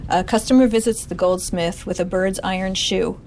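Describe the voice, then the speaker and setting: A woman's voice: medium pitch, fairly even intonation, normal speed, a little mellow. La singer lady telling a song's story, noisy room. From Los Angeles. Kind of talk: casual song introduction.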